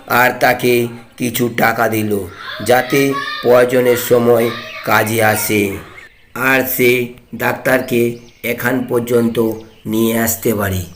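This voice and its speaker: A man reads a scripted fairy tale as narrator of a cartoon. His pace is very slow and his pitch is average for a male voice.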